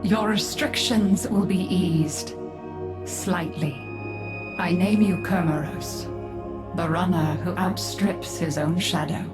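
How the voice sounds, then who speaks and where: A woman playing a proud, formal matriarch in a video game drama, speaking in a measured, stately way. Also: on the low side, steady tone, over music. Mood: stern, reserved. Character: proud, formal, grieving matriarch of an estranged family.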